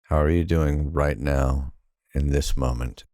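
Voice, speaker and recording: A man narrating a guided sleep hypnosis in a calm, soothing, unhurried manner with long pauses. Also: rumbling low, no echo, clean studio sound.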